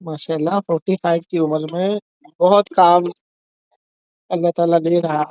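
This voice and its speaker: A man in his forties with a distinctly high voice speaks as a religious scholar on a phone call. The sound is dry, with no room around it. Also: steady tone, moderate pace, a little mellow, clean tone, dry recording. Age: 40s. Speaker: religious scholar taking calls from the public. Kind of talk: phone conversation, religious commentary.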